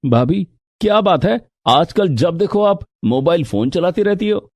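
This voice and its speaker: A man voicing an earnest young family man in a dramatized storybook tale, with a husky voice. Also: high voice, expressive, measured pace, a little mellow, no echo, exaggerated voice. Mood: curious. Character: young husband and his brother, earnest family men.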